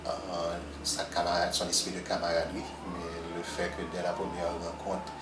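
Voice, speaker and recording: A man speaking softly and hesitantly in a testimonial. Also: low-pitched, completely flat delivery, moderate pace, heavy background noise. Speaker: staff member giving a tribute. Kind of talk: recorded testimonial. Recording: echoey, distant, loud music.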